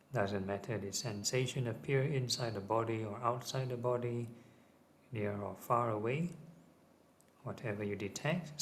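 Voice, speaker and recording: A man teaching guided meditation, giving gentle, measured instructions. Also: fairly low pitch. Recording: clearly audible ambient background noise.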